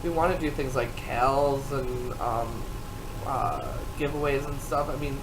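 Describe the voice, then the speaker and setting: A woman's voice: a bass voice, animated, a little slow. An American woman, a podcast co-host, chatting casually and sounding a little tipsy. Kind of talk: casual banter. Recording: clearly noisy room recording.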